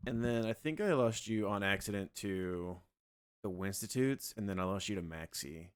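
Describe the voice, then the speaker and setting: A young man streaming a game and chatting loosely with his viewers, his voice a little scratchy from yelling the night before. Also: on the low side, moderate pace, clear, resonant tone. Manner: relaxed, rambling, talks to chat. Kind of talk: casual livestream chat.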